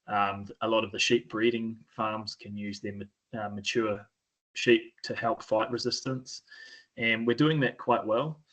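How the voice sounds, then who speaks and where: A man with a New Zealand accent giving an informal presentation, often pausing mid-phrase in a hesitant way. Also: lowish voice, fairly bright tone, rough recording. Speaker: sheep and beef farmer, presenter.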